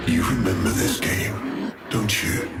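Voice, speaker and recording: Slow, deep, theatrical villain played by a man. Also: lightly acted. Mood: cruel gloating. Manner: slow, deliberate, mock-courteous menace. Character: sinister, gloating, cultured male villain, menacing and theatrical. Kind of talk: theatrical video game cutscene acting. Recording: loud music behind the voice.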